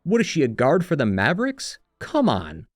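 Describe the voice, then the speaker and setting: A man's voice: fairly high pitch, huge pitch swings, moderate pace, no echo. Dry-recorded sardonic male narrator. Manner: sardonic, wry asides. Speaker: video essayist and narrator. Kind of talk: scripted retrospective narration with commentary. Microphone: close, dry voice-over mic.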